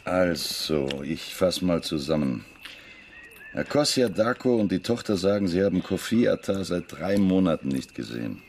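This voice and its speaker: An actor playing a local police investigator in a radio drama, wry and matter-of-fact, in natural dialogue. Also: male voice, deep voice, animated, normal speed, darkish tone, slight background noise. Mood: businesslike.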